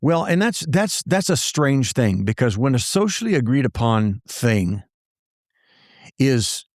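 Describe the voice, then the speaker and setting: A man's voice: medium pitch, big pitch swings, a little slow, no echo, studio quality. A man co-hosting a podcast, speaking thoughtfully and hesitantly, with many fillers and repeated words. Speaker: podcast co-host. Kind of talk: casual conversation.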